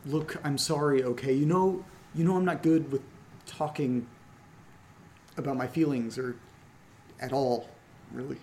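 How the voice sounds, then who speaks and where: A mellow-voiced, awkward man played by a male actor in a horror audio drama. He is hesitant and understated, with a soft dark tone over faint noise and rumble. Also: steady tone, a little slow, pauses often, natural voice. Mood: apologetic. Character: awkward, guilty, reserved male friend, dryly questioning.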